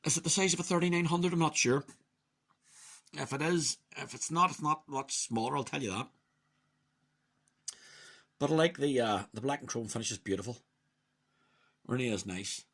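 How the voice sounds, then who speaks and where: A man giving a casual, chatty, opinionated hands-on radio hobby review, muttering to himself at times, in a husky voice. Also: medium pitch, moderate pace, pauses often, a bit bright. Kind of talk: casual hands-on product demonstration.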